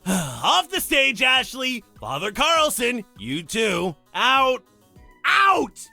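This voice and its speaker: A showboating demon host for a visual novel, super high and creaky, teasing and theatrical. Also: bright, forceful tone, no echo, full character voice. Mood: bossy announcing. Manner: theatrical, teasing, gleefully announcing. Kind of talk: character acting in a visual novel.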